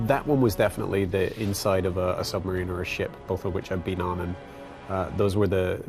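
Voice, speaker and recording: Gravelly low male interviewee. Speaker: writer. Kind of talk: behind-the-scenes interview.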